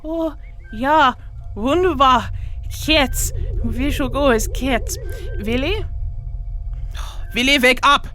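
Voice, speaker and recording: Woman as a high, bossy alien crew leader. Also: slow pace, pauses often, pushed and bright, soft background music, heavily acted. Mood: excited. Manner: coaxing, exclamatory. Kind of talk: comic sci-fi audio theatre acting.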